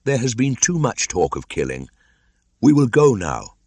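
A man's voice: medium pitch, sing-song, a little slow, a little mellow, no room sound, voice pushed up. A man voicing an earnest young jungle boy, speaking in a raised, eager voice. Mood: firm.

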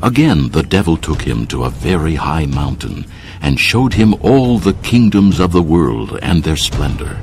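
Very deep, gravelly male scripture narrator. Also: very animated, unhurried, darkish tone, soft background music. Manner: solemn storytelling.

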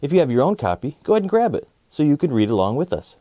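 A man's voice: fairly high pitch, very animated, racing, mellow, no echo. A man in his thirties hosting a children's story channel and narrating a picture book. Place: small indoor set, dry sound.

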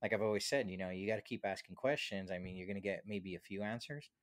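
A man's voice: lowish voice, fast talker, no echo. A man in his thirties chatting casually on a podcast in a rambling, slangy way, close to a studio mic. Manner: rambling, slangy, peppered with "dude". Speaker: podcast host. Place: small home studio. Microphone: close studio mic on boom arm.

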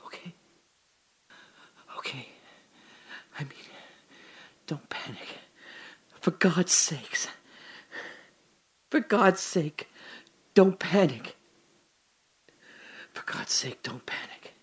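An actor playing a terrified, confused man trapped alone in darkness, talking to himself in breathy fragments in an audio drama. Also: a little high, expressive, extremely slow, long pauses, fairly bright tone, in a whisper, heavily acted. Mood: hushed, anxious self-calming.

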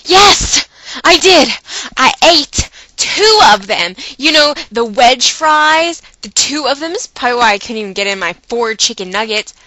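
A woman vlogger telling a personal story to her viewers in a chatty, animated way, with dramatic emphasis and acted-out asides. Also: high-pitched, a little slow, dry recording, rough recording. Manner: chatty, dramatic emphasis, acting out her own inner dialogue.

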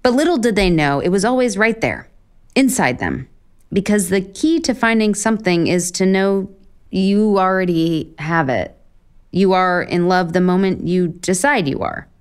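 An actress playing a flustered, self-deprecating author in a romantic comedy audio drama, with an American accent and halting, deadpan asides. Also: female voice, on the low side, smooth, natural voice. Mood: halting reading aloud. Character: flustered, sardonic, self-deprecating woman author on book tour.

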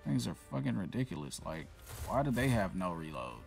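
A man giving casual, profanity-laced commentary while playing a shooter game, reacting loudly to what happens on screen. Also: low voice, big pitch swings, fairly quick, vocal fry, faint music behind. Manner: swears freely, reacts loudly to in-game events. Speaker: gameplay commentator.

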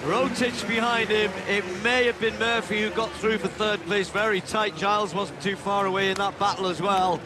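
A man giving live play-by-play athletics commentary, building to shouts as the race unfolds. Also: high-pitched, level tone, normal speed, few pauses. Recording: broadcast commentary over loud stadium noise.

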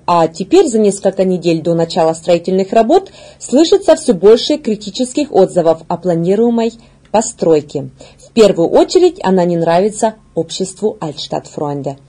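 A woman in her thirties reading scripted news in a studio, with measured, formal delivery. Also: medium pitch, mellow, husky. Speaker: news presenter. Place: indoor studio.

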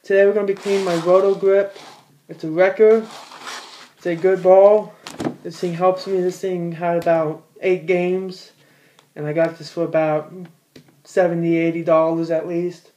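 A man's voice: high voice, flat delivery, normal speed, mellow, a little hiss or hum. A teenage boy in a small room at home, giving a casual how-to in a rambling, plain-spoken way with many fillers and restarts. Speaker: bowler demonstrating ball cleaning.

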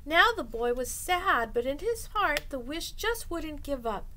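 A middle-aged woman reading a picture book aloud to children as a storytime host, expressively and deliberately, drawing out the ends of phrases. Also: high-pitched, very animated, sharp tone, a little hiss or hum. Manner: deliberate, drawing out phrase endings for young listeners. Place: small indoor room lined with bookshelves.

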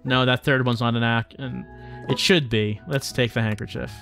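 A man's voice: medium pitch, steady tone, moderate pace, gravelly. A man livestreaming a visual novel, reading the text aloud and adding casual, dry, tongue-in-cheek asides. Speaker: streamer playing a visual novel. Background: game music.

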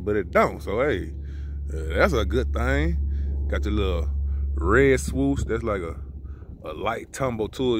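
Dude talking sneakers outside by his car, slangy and loose, cussing a lot, faint outdoor hum behind him. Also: on the low side. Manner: laid-back slangy, loose and profane. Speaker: sneaker reviewer. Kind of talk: casual product review vlog. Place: outdoors beside a car in a yard.

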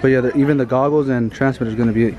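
A man's voice: mid-range voice, fairly even intonation, very fast, highs cut off, a crowd in the background. A man in his twenties vlogging on a handheld camera, chatty and teasing as he talks to the camera at an airport, in a car and at an outdoor expo. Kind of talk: casual travel vlog. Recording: loud background noise.

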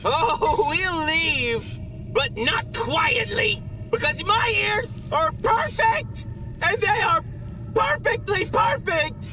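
An actor playing a cocky, childish little robot bunny with a high cartoonish voice in a video game, excitable and wisecracking. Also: slow pace, full character voice, low-quality recording. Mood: huffy. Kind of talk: video game cutscene acting.

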